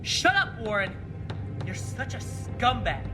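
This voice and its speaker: An actor performs a disguised monster in an audio drama, pleading and accusing. The delivery is very slow and swings widely in pitch. Music plays clearly behind it. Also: sing-song. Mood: angry, defensive. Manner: pleading, insistent. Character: monster disguised as their friend Garrett, pleading, insulting.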